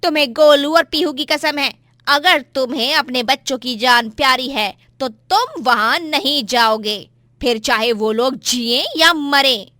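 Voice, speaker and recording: Woman doing a nasty domineering daughter in law, high pitched, taunting and yelling, story video drama. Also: a little slow, no echo, studio quality. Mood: threatening, bitter. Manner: scolding, taunting, forceful. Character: spiteful, shrill elder daughter-in-law, quarrelsome and domineering. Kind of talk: melodramatic moral-story dialogue.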